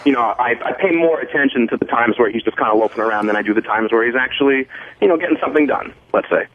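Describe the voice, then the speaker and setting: A man's voice: mid-range voice, quick pace. A man on a radio show's staff chatting on air, critical but hedging, through thin narrow sound. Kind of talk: casual on-air chat.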